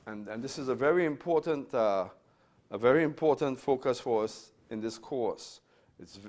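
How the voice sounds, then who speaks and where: Older Trinidad-raised pastor teaching Bible class. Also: male voice, medium pitch, darkish tone. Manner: conversational, frequent "right?" checks and repeated words.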